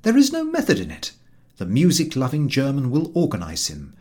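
A man narrating a humorous book as an audiobook reader, in an expressive, measured storytelling manner. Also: medium pitch.

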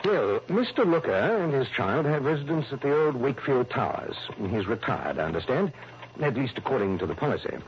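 An actor playing a fussy, anxious insurance office manager in early 1960s radio drama, formal, precise and easily flustered. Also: male voice, medium pitch, sing-song, brisk, lightly acted. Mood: earnest, worried. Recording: muffled, noisy old radio recording.

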